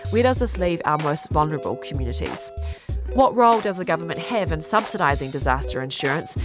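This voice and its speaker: A New woman opens a podcast, reading a scripted introduction in a measured way. Her voice is fairly low for a woman. Clear music plays underneath her throughout. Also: expressive. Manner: measured, read-aloud delivery. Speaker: podcast host.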